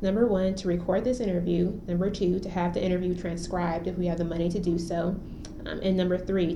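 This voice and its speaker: A woman's voice: on the low side, mellow. A woman conducting a formal oral history interview, reading a structured preamble before asking questions. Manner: reads a formal preamble, then short acknowledgments. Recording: roomy echo, faint noise.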